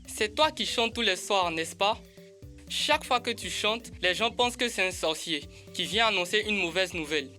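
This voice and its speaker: A woman's voice: medium pitch, lively intonation, moderate pace, bright, forceful tone, faint music behind. A woman voicing a curious, caring child in a children's cartoon, with an earnest, straightforward delivery. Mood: curious, friendly. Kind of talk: children's educational cartoon acting.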